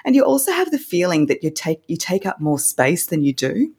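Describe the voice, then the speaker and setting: An Australian woman talks through her advice in an easy, animated way, laughing now and then. Her voice sits low and a touch husky. She sounds like a voice coach chatting on a call. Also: brisk. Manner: warm animated explainer, laughs often. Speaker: voice coach guest. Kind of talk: conversational interview.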